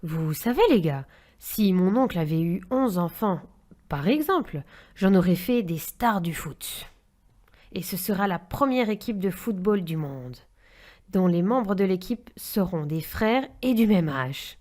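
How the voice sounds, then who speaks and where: A woman's voice: on the low side, lively intonation, measured pace, darkish tone. A woman voicing an imaginative, eager young boy full of questions in a French children's cartoon. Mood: daydreaming.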